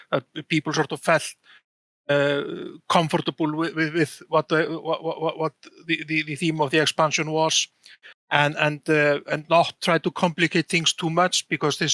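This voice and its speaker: A man being interviewed about game design, talking haltingly with many repeated words and restarts. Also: on the high side, bright, forceful tone, no echo. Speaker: game design director guest. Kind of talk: casual podcast interview.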